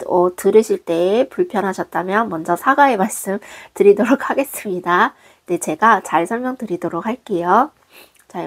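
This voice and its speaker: A woman, hoarse from a cold, patiently walking viewers through a craft tutorial step by step in a gentle, polite way. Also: mid-range voice. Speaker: crochet designer teaching.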